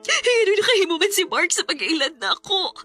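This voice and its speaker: High-voiced, lovelorn young Cebuano Filipino woman. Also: big pitch swings, fairly quick, bright, forceful tone, soft background music, exaggerated voice. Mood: anguished. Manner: melodramatic, pleading. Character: emotional, lovelorn young woman, betrayed single mother. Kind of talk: Bisaya radio drama acting.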